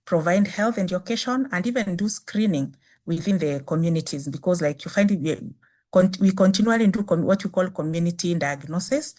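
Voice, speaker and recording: A woman with a Kenyan accent, a native Swahili speaker, giving a measured webinar presentation on nursing with frequent restarts. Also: lowish voice, animated, darkish tone. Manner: measured, repeats phrases with restarts. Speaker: guest speaker, nursing dean.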